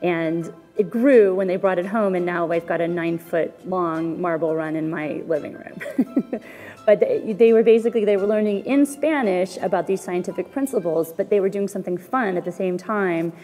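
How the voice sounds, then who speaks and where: A woman's voice: on the low side, moderate pace, dark tone, in a large hall, faint music behind. A woman parent talking in a casual interview, chatty, with fillers.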